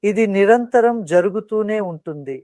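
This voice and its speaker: A meditation instructor guiding listeners in a calm, soothing and measured manner, pausing between instructions. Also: mid-range voice, dry recording. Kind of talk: guided meditation narration.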